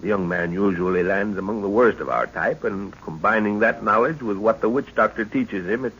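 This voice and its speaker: A man's voice: fairly low pitch, fairly quick, a bit bright. An actor playing an older, absent-minded professor and father in an old radio adventure serial, exclaiming and muttering to himself in an avuncular way. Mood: knowing. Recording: muffled, noisy old-time radio recording.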